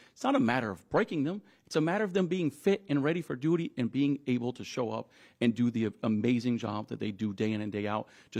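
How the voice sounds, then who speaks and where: A man speaking as a union leader at a press conference, emphatic and deliberate, repeating phrases for stress. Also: mid-range voice, a little mellow. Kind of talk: press conference statements and answers.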